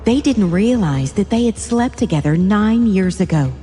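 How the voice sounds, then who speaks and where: A woman's voice: medium pitch, expressive, normal speed, warm tone, big-room sound, faint music behind. A woman reading scripted narration for a dramatic story.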